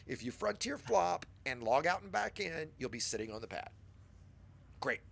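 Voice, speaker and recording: A man with a scratchy voice from a cold leads a casual game tutorial, coaching a beginner step by step and chatting to his viewers. His voice sits fairly high and swings widely in pitch. A gravelly fry runs under his words. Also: very animated, moderate pace, fairly bright tone. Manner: patient, chatty instructor addressing viewers.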